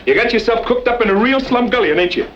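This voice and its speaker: An actor playing a cocky, taunting range drifter with slangy, garrulous talk and an American Western accent in an old-time radio western. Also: male voice, very high voice, expressive, quick pace. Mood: taunting, sly. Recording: muffled, faintly hissy old-time radio recording.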